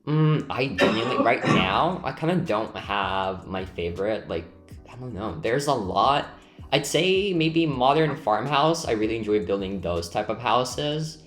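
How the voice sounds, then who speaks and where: A man's voice: medium pitch, animated, unhurried, fairly bright tone. A man, a native Lithuanian speaker, chatting casually and a bit hesitantly as he hosts a group chat over his building video. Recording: roomy echo, music behind. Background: background music.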